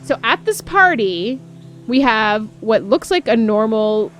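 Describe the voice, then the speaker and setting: A woman's voice: fairly high pitch, sing-song, slow pace. A woman giving casual, rambling, slangy game commentary as she streams a playthrough, with some swearing. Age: adult. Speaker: streamer playing a visual novel. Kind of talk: casual playthrough commentary, reading dialogue aloud. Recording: music playing behind the voice. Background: music.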